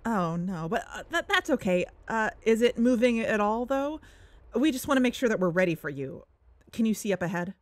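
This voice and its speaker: A woman's voice: on the high side, lively intonation, moderate pace, no echo, close to an everyday voice. A woman acting as an earnest, insistent podcast host in a conversational audio drama. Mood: polite, anxious.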